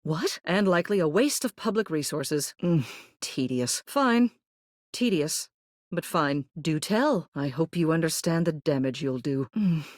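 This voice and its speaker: Video game role: stern, haughty lady politician, lowish register, formal and clipped delivery, close dry sound. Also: lively intonation, a little mellow, dry recording. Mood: dry, condescending. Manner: formal, clipped, authoritative, measured. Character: stern, haughty female ceiling who governs a household like a politician. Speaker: video game character voice actor. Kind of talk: scripted game character dialogue.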